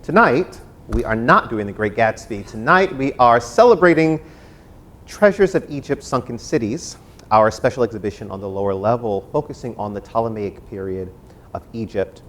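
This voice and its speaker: A man hosting a live theater discussion as moderator, speaking with theatrical, deliberate emphasis. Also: mid-range voice, animated, fairly bright tone, slight background noise. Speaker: event host and moderator.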